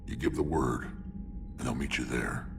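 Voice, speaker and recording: A battle-hardened alien warlord from a video game, with a very low, gravel-filled growl. He is blunt, proud and sardonic. The sound is a little distant, over clear background ship noise. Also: normal speed. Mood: grim, urgent. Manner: blunt, sardonic, weighty delivery. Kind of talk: video game character acting.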